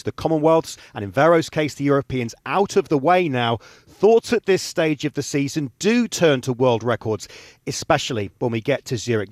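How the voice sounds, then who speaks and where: A man's voice: medium pitch, dry recording, studio quality. A man giving a scripted sports report as an athletics commentator.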